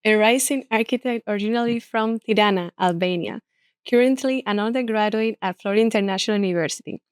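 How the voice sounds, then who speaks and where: A woman's voice: medium pitch, fast talker, smooth, dry recording, studio quality. A woman hosting an architecture podcast, introducing and encouraging her guest. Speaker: podcast host. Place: studio.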